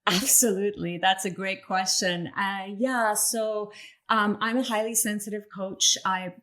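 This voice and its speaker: A woman from Iran, a coach for parents of sensitive children, answering interview questions in a thoughtful way with many pauses and "um"s. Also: mid-range voice, fairly even intonation, fairly bright tone.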